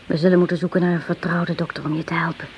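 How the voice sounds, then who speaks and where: An actress playing a gentle, worried widow who nurses her wounded brother in a radio drama. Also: fairly low pitch, little pitch movement, rapid-fire, dull audio, lightly acted. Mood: concerned. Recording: noisy old radio drama recording.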